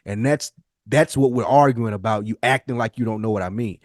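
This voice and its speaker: A man's voice: fairly low pitch, a little fast, no room sound, clean studio sound. An American man co-hosting a podcast, talking casually and reflectively in an earnest, emphatic, colloquial way and repeating phrases as he builds his point. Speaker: podcast co-host.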